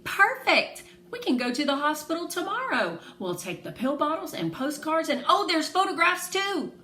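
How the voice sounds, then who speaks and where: A woman voicing an eager, earnest young girl with an excitable manner in a storybook reading. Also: sits high, normal speed, voice pushed up, exaggerated voice. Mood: hopeful, excited. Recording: roomy echo, faint background noise.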